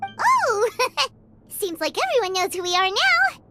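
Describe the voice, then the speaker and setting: A woman voicing a tiny, chatty female companion in a game cutscene, with a very high, squeaky, excitable voice. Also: slow, heavily acted. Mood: bubbly. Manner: excitable, cheering. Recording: game audio with background music.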